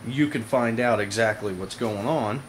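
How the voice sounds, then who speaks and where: A man giving a casual hands-on product demonstration to viewers, informal and halting, with frequent pauses. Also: fairly low pitch. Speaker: product reviewer, video host. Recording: noisy amateur camera audio.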